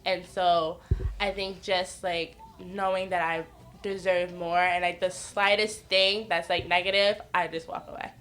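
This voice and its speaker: A woman's voice: on the low side, level tone, measured pace, a bit bright, slight background noise. A woman in her twenties from the northeastern United States, a podcast guest telling frank personal stories, laughing often and acting out what others said. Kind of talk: candid casual chat.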